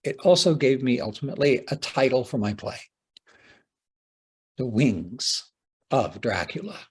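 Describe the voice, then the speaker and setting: A man with a husky voice giving a prepared talk as a playwright, speaking deliberately and theatrically with dramatic pauses. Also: mid-range voice, very animated. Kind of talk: prepared conference lecture, read aloud with dramatic phrasing.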